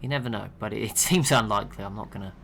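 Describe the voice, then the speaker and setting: Relaxed, low-voiced gaming commentator. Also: male voice, racing, creaky, a little hiss or hum. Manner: relaxed, chatty, laughs to himself. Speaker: gaming channel host. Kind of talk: casual commentary over an AI-only strategy game.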